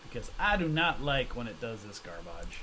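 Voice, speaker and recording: A man's voice: medium pitch, sing-song, normal speed, sharp tone, a little hiss or hum. A man hosting a stock trading livestream in casual, joking chat, reading out viewer comments and reacting loosely with slang.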